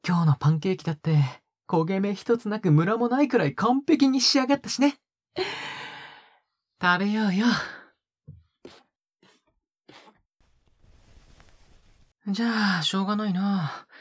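Male actor for a possessive, doting young man in audio drama: very breathy, close and dry, swooping intonation. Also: sits high, expressive, fairly quick, dry recording. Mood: coaxing sweetness. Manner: soft, coaxing, often dropping to a breathy whisper. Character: possessive, sickly-sweet young male captor doting on a pet-like girl. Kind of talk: intimate audio drama acting, spoken to the listener.

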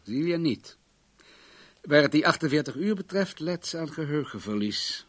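An actor playing a wealthy, controlling businessman in a radio play, formal, guarded and self-assured in a measured way. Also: fairly high pitch, expressive, a bit bright. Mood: matter-of-fact.

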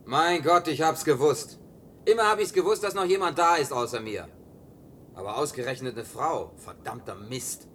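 An actor playing an awkward, grumbling drifter in a German science-fiction radio play, speaking colloquially, hesitant and gruffly excitable. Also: male voice, a little high, normal speed, recorded from a distance, slight background noise. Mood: startled, agitated. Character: awkward, grumbling male drifter, last man on earth.

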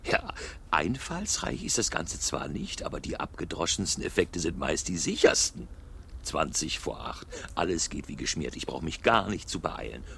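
An actor playing a cold, sardonic murderer in a German radio drama, muttering calculatingly and mockingly to himself. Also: male voice, rumbling low, sing-song, moderate pace, creaky, fairly bright tone, slight background noise, exaggerated voice. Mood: calculating, smug. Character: cold, sardonic murderer staging a man's suicide.

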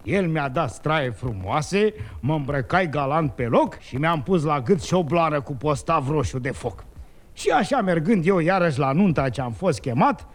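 An actor playing a wily, excitable Turkish folk trickster in a 1950s radio comedy, telling rhymed tales in an exclamatory sing-song manner. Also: male voice, on the high side, natural voice. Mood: comic storytelling.

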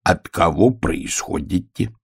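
A man voicing a self-important, stubborn bear cub who plays a stern official in a storybook, solemn, gruff and deliberate. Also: low voice, animated, no echo, clean studio sound, full character voice. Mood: officious.

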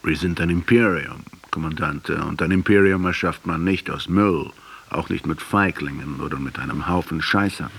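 An actor in a German radio play voicing a sceptical, combative intellectual who questions and accuses, with a husky voice. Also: male voice, a bass voice, normal speed, fairly bright tone, exaggerated voice. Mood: measured, sceptical.

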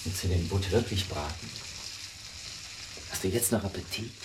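A man playing a weak middle-aged husband in a radio drama, confessing in a hesitant, rambling, self-justifying way with a husky voice. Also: deep voice, moderate pace, very noisy, close to an everyday voice. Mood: brooding, reminiscing. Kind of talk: naturalistic German radio drama acting.

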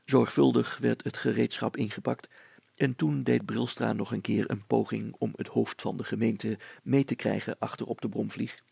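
A man's voice: medium pitch, steady tone, moderate pace, gravelly, darkish tone, breathy edge, dry recording. A man reading a scripted story aloud as its narrator.

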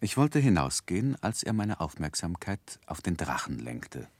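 Casting: reflective storyteller of his own youth, low voice, lively intonation, articulate, vintage radio drama. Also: normal speed, no echo. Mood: fond, reflective. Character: grown man gently recounting his own boyhood, thoughtful. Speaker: first-person narrator. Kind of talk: scripted literary narration in 1960s German radio play.

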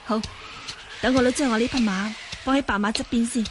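A woman's voice: fairly high pitch, fairly even intonation, a little fast, noisy background, natural voice. A woman acting a polite, spirited young swordswoman in a Cantonese radio drama, with courteous theatrical delivery. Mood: curious, musing.

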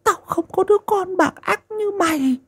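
A man's voice: very high-pitched, expressive, normal speed, pitched above the performer's own voice. A man voicing a stern, grieving old mother, scolding shrilly and wailing. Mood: furious.

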